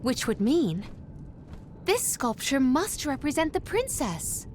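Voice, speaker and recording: High-voiced, formal poetic young woman. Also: big pitch swings, a little mellow, slight background noise, heavily acted. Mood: composed. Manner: formal, measured, precise wording. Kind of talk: video game voice acting. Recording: game music behind the voice.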